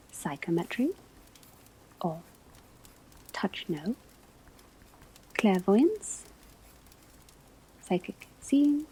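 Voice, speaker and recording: A woman playing a patient, scholarly witch-academy teacher in an ASMR roleplay, lecturing in soft breathy whispers with formal, deliberate phrasing. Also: medium pitch, full character voice, poor audio.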